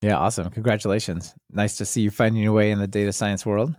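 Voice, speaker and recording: A man's voice: on the low side, steady tone, fast, darkish tone, dry recording, studio quality. A man hosting a podcast, talking casually about tech. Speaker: podcast host.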